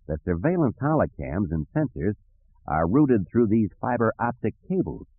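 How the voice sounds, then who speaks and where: A man acts a roguish male adventurer in a space audiobook. He sounds self-assured and quick to defend himself, with a husky edge and lively intonation. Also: fairly low pitch, narrow, tinny audio. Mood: confident.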